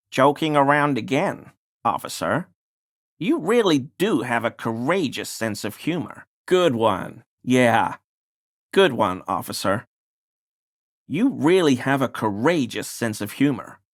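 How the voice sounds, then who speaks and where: Game role: anxious, toadying little bigot of a deliveryman, very animated intonation, slippery and apologetic manner. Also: mid-range voice, full character voice. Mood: ingratiating. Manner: obsequious, stammering, evasive. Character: nervous, ingratiating male pie deliveryman with bigoted suspicions. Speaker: video game voice actor. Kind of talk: scripted game dialogue.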